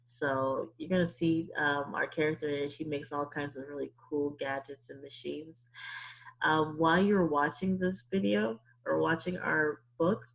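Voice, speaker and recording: A woman teacher leading an interactive read-aloud for young children, playful and animated, often asking questions, with a slightly husky voice. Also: low-pitched, low-quality recording.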